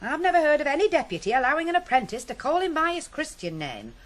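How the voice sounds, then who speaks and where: Stern mother, high lively voice. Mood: strict. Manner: brisk, scolding. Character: stern, nagging working-class mother and pie seller. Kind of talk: 1980s radio drama acting. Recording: noisy vintage radio play recording.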